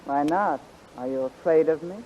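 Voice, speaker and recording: A woman's voice: low voice, normal speed, close to an everyday voice. A woman playing an imperious, coaxing captive queen in 1930s radio acting style, on a muffled, noisy old recording. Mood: coaxing, taunting.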